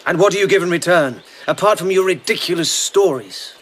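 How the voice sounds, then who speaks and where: Proud, high-strung male lord. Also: fairly quick, a bit bright. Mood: haughty, irritated. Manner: haughty, commanding. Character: proud, irascible male lord and swordsman, easily unnerved. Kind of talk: radio drama acting.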